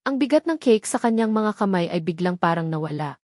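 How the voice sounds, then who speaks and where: A woman's voice: on the low side, lively intonation, brisk, a little mellow, no room sound, studio quality. A woman with a Filipino accent reading a scripted, dramatic story as its narrator.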